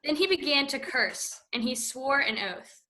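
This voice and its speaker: A woman narrating scripture in a church with a steady, careful reading. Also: sits high, measured pace.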